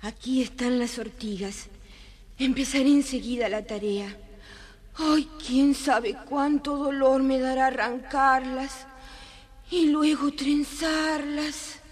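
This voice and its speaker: A woman playing a sweet, gentle young heroine in a dramatized children's story, with a theatrical, wonder-struck way of speaking. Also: high-pitched, fairly even intonation, slow. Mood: anxious, resolute. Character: sweet young fairy-tale heroine, gentle little sister.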